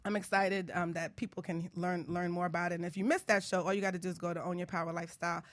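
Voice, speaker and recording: Fast-talking New woman hosting a show. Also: lowish voice, flat delivery. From Bronx, New York. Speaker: radio show host. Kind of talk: casual chat and storytelling.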